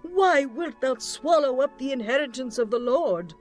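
A wise woman of an ancient walled city in a scripture drama. The voice is very high and declamatory, bold in its pleading, with music underneath. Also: lively intonation, normal speed. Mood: reproachful pleading. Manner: declamatory, archaic phrasing.

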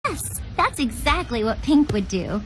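A woman's voice: fairly high pitch, sing-song, unhurried, music in the background. A woman speaking in scripted comic dialogue.

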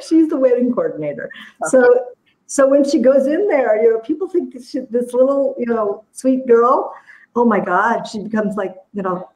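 A woman's voice: fairly high pitch, expressive, normal speed, darkish tone, rough recording. A woman in her fifties chatting casually about family, laughing often. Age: 50s. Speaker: guest author. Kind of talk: casual chat.

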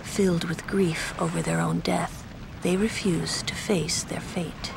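Low, slow, stern young mage. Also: female voice, fairly even intonation, vocal fry, noisy background. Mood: solemn. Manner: measured, solemn, slightly condescending. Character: composed, stern, world-weary young female mage. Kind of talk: video game cutscene acting.

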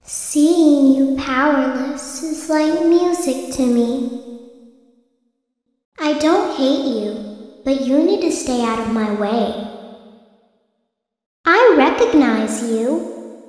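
A woman voicing an aloof, protective puppet spirit in a game, speaking in a cold, measured way. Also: sits high, a little mellow, very echoey, long reverb tail, soft background music.

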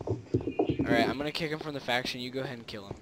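Deep-voiced woman hosting a game video. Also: fast, heavy background noise. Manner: joking, giggly, drops to whispers. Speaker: let's play host. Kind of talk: casual gaming chat. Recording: loud background music.

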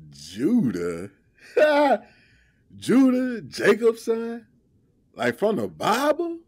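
An actor playing a laid-back, protective young man in an audio drama, talking in slang with drawn-out, incredulous repeats. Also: high-pitched, huge pitch swings, no echo, clean studio sound, heavily acted.

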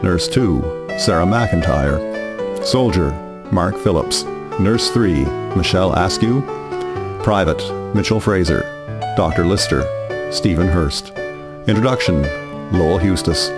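A man reading scripted narration for a historical radio drama in a formal, measured manner. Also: low voice. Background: guitar music.

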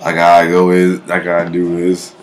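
A man in his thirties with a low bass voice, casually reacting to memes at home, laughing often and breaking into off-key singing. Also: completely flat delivery, moderate pace, slight background noise. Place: home kitchen, indoors. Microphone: webcam at close range.

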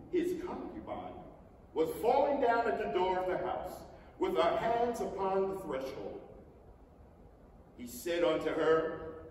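A man giving a solemn, emphatic, declaimed dramatic reading of scripture on stage. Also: high voice. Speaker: stage reader. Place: on stage in a large indoor theatre. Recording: echoey theatre hall from far off, noisy.

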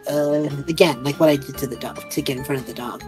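A woman playing a tabletop roleplaying game, speaking casually and haltingly in character. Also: a bass voice, over music.

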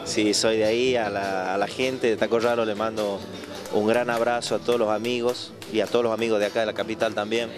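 A man's voice: lowish voice, little pitch movement, a little fast. A young Argentine man from Tucumán, interviewed as a folk singer into a handheld mic in a busy bar, talking modestly with some hesitation. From Tucumán province, Argentina. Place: busy bar with live music. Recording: loud live music behind.

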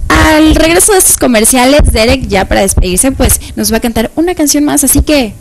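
A woman's voice: high-pitched, lively intonation, a little fast, gravelly, over music, low-quality recording. A woman in her twenties with a Mexican accent, hosting and interviewing on a television variety show. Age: 20s. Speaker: TV show host. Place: small indoor TV studio.

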